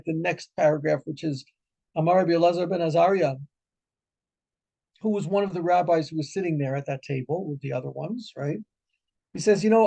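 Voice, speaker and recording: A man's voice: on the high side, creaky, darkish tone, low-quality recording. A man teaching an informal Torah class as a rabbi, talking conversationally and animatedly, often checking in with "right?".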